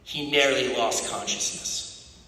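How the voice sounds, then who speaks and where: A man giving a dramatic literary reading as narrator, intense and theatrical, with suspenseful pauses. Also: medium pitch, bright, forceful tone. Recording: very echoey, reverberant room.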